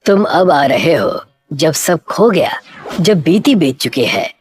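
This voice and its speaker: A woman plays an old village holy man from a children's cartoon story. The voice drops low, grave and commanding, scolding villagers with cryptic authority. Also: very animated, takes it slowly, exaggerated voice. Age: older. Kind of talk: cartoon moral story acting.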